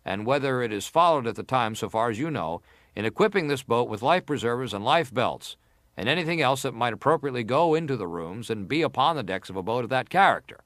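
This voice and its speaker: An actor playing a formal, insistent senator who questions a witness deliberately in a dramatised hearing. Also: male voice, mid-range voice, animated, a bit bright, no echo, clean studio sound, lightly acted. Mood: insistent, pressing. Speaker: actor reading an examining senator. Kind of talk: dramatised reading of hearing testimony.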